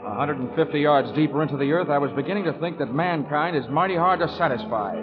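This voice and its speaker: An actor playing a wisecracking, world-weary insurance investigator in a 1940s radio drama, speaking in a deadpan way. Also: male voice, fairly high pitch, fast talker, lightly acted. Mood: wry narration. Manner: wry, deadpan. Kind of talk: 1940s radio acting, first-person narration. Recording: dull old radio recording, music behind.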